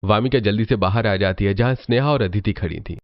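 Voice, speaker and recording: A man's voice: fairly low pitch, fairly quick, muffled recording. A man narrating a scripted cartoon family story as the storyteller.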